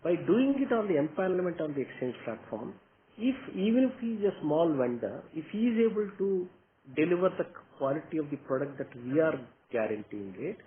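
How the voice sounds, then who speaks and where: A man with an Indian accent answering questions as a company executive in an investor meeting, explaining point by point and often checking with a short "okay?". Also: sits high. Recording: tinny phone-like line with background noise.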